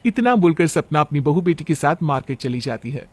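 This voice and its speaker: High-pitched male story narrator. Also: animated, fairly quick, background noise. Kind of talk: scripted story narration for cartoon.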